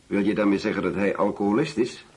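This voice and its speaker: A man's voice: lowish voice, fairly even intonation, quick pace, darkish tone, breathy edge. A man playing a wary, doubting middle-aged father in a Dutch radio play, probing and insistent. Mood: probing, incredulous.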